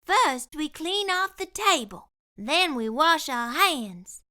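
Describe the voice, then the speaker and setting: A woman's voice: high-pitched, sing-song, slow pace, sharp tone, voice pushed up, heavily acted. A woman voicing a bold, unflappable backwoods cook who speaks in plain, folksy country talk. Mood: bossy.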